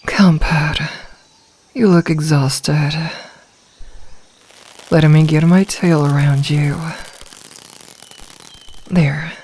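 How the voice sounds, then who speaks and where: A woman's voice: sits low, steady tone, very slow, long pauses, darkish tone. A woman voicing a tender, protective snake woman in a fantasy ASMR roleplay, coaxing and soothing, with a sly streak toward prey. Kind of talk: ASMR roleplay, scripted fantasy audio drama. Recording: long reverberant hall-like echo.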